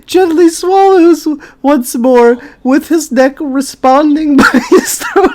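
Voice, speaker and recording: Slow, lively, incredulous young fanfiction reader. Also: high voice. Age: 20s. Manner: giggly, incredulous, breaking character. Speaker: host of a comedic dramatic fanfiction reading. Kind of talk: dramatic reading with amused commentary.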